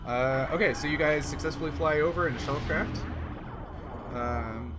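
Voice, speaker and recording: A man acting as game master for a tabletop role-playing game, explaining rules and situations in a halting way. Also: a little high, over music.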